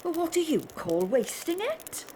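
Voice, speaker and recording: A woman's voice: very high voice, huge pitch swings, measured pace, noisy background, heavily acted. An actress playing a grumbling, easily shocked poor working-class woman in an audio drama. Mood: indignant, shocked.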